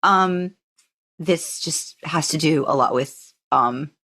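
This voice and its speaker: Low-voiced, agreeable woman podcaster. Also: takes it slowly, fairly bright tone. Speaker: podcast co-host. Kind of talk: casual fan chat about a TV series.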